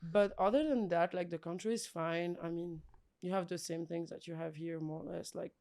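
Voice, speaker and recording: A woman's voice: fairly low pitch, steady tone, normal speed, a little mellow. A young woman from Belgium, a guest speaking thoughtfully in a casual podcast interview.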